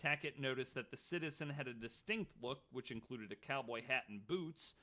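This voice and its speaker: A man's voice: medium pitch, moderate pace, bright, forceful tone. An American man co-hosting a podcast, telling a story from notes with casual banter. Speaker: podcast co-host.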